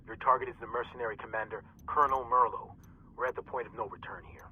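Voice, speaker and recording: A man's voice: mid-range voice, steady tone, quick pace, muffled recording, slight background noise, lightly acted. An actor playing a resolute, intense man who briefs soldiers on their mission in a video game. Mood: grave, driven.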